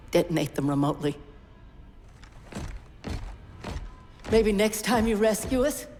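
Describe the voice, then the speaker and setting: An actress playing an anxious, outspoken station scientist in a video game, frank and wry. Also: female voice, fairly low pitch, darkish tone, slight background noise, close to an everyday voice. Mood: resigned, wry. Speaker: research scientist. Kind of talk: video game cutscene acting. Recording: hall-like reverb, game music behind.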